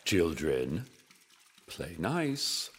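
A man playing an older, commanding board director in an audio drama, measured and authoritative, with a deep husky voice. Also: big pitch swings, heavy background noise, heavily acted. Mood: measured, stern.

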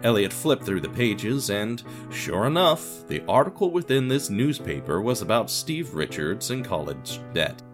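A man's voice: lowish voice, sing-song, a little slow, few pauses, over music. A man giving scripted story narration as narrator of an audio drama podcast.

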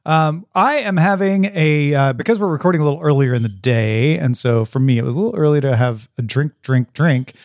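A man with a fairly high-pitched voice chats casually as a podcast co-host. His manner is playful and bantering, and the sound is dry, with no room around it. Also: a little fast, hardly any pauses, a little mellow, dry recording. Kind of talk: casual chat.

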